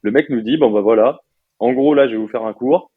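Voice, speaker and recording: A young man in his twenties from France, a software developer chatting casually in an interview with lots of fillers and slang. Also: mid-range voice, brisk, slightly rough, dry recording, narrow, tinny audio. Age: 20s.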